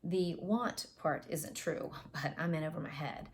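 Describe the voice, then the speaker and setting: A woman's voice: deep voice, measured pace, vocal fry, slight background noise. Woman reading a novel aloud at home. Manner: reads aloud with character voices and retakes. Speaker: audiobook reader. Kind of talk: first-person novel reading. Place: home office with bookshelves. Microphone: wireless earbuds.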